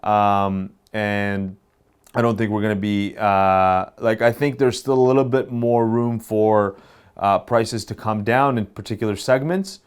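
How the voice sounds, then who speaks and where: A man's voice: lowish voice, steady tone, unhurried, clear, resonant tone. A man co-hosting a business podcast, easygoing and jokey as he thinks his answers through aloud. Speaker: podcast co-host, mortgage professional. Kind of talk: casual podcast chat.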